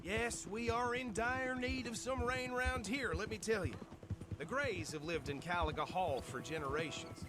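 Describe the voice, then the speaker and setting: An actor playing an anxious, bossy and loyal sheriff's deputy in a video game, with loud music over him. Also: male voice, sits high, moderate pace, lightly acted. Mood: calm, chatty. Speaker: deputy lawman. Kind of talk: video game cutscene acting.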